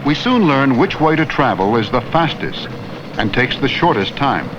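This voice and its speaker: A man narrates an old classroom film in a slow, clear, teacherly way, his pitch rising and falling with plenty of movement. The sound is dull and muffled, like an old film soundtrack. Soft music runs faintly underneath. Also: very animated. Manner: slow, clear, teacherly delivery with questions to the viewer. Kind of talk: scripted educational narration.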